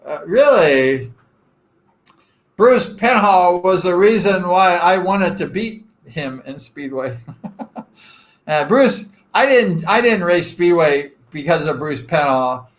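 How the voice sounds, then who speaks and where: A man around sixty from Southern California who hosts a relaxed live chat, telling long wandering stories full of "uh" and "like." He jokes at his own expense in a friendly way. His speaking voice sits a little higher than most men's. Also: slow pace. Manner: rambling and chatty, self-deprecating joking, frequent fillers. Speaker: live-stream host. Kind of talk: casual live chat with viewers.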